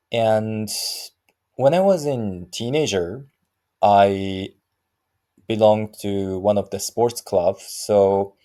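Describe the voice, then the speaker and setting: A man in his thirties speaks English with a Japanese accent, hesitant and often stopping to search for the right word. His voice sits a little low and sounds mellow and soft. He talks very slowly, in a casual solo monologue. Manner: halting, searching for words, frequent restarts. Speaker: vlogger practicing English.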